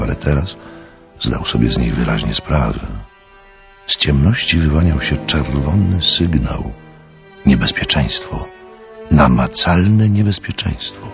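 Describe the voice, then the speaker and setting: A man's voice: rumbling low, vocal fry. A man narrating an audio drama in a dramatic, scripted storytelling style. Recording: slightly muffled, music underneath. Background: music.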